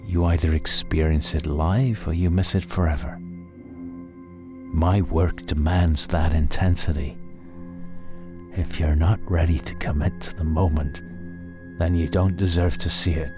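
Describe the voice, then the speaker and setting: Man playing a very deep, menacing serial killer. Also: fairly even intonation. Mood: intense conviction. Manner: slow, deliberate, ominous pauses. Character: menacing male serial killer streamer, coldly intense artist. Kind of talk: horror audio story acting. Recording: loud music under the voice. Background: music.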